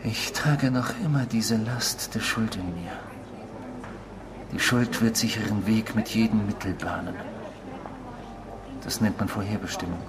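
A man's voice: fairly low pitch, steady tone, a little slow, pauses often, crowd noise, natural voice, rough recording. A male actor in a German radio drama playing an intrusive, obsessive stranger who talks insistently and theatrically. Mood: probing, insistent. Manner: insistent, theatrical, probing, swinging from hushed to emphatic.

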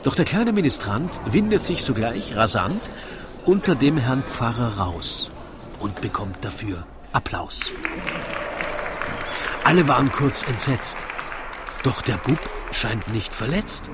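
A man narrating a scripted rhyming story in a theatrical, deliberate storytelling manner. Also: mid-range voice, big pitch swings, crowd noise, background noise.

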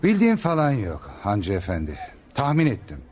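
An actor in a radio play voicing a courteous, resolute captain and wine merchant. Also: male voice, medium pitch, wildly swooping pitch, moderate pace, a little mellow, husky. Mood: calm, guarded. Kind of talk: radio theatre acting.

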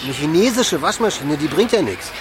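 An actor playing a frank German expatriate manager, talking informally and a little haltingly in a radio drama. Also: male voice, a little high, lively intonation, over music, lightly acted. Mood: casual, matter-of-fact. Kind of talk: conversational documentary radio drama.

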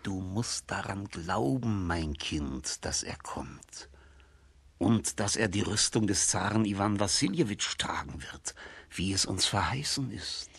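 An old, ailing tsar in a radio fairy tale. His voice is low and gravelly, husky and weak. He speaks with solemn faith, as a wise father would. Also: animated, a little slow, a bit bright, no room sound, full character voice. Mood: insistent, hopeful. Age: older. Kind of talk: radio play acting for children.

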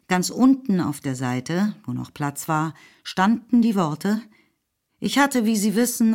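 A woman's voice: low voice, dark tone. A woman giving composed, scripted literary narration as the storyteller of a radio play.

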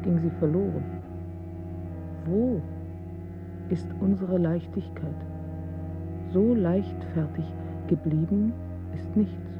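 A pensive woman recites fragmentary images for a radio play. Her delivery is very slow and deliberate, each phrase set apart. The recording is a little dull. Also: fairly low pitch, gravelly. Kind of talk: poetic radio drama recitation.

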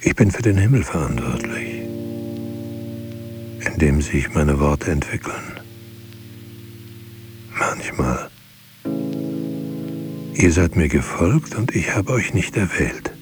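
A man playing a grave, searching writer in a literary radio drama, speaking in a measured, solemn and at times hushed way. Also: low voice, very animated, over music, exaggerated voice. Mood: grave, confessing.

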